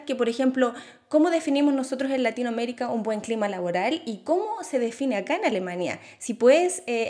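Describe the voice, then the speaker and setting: A Chilean woman with a fairly high voice hosts an interview. She asks long, carefully framed questions in a conversational tone. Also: a little mellow. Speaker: host.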